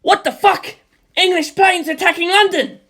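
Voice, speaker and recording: A woman voicing an excitable male engineer and former pilot. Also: very high voice, moderate pace, voice pushed up. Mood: panicked. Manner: excited, loud, panicky.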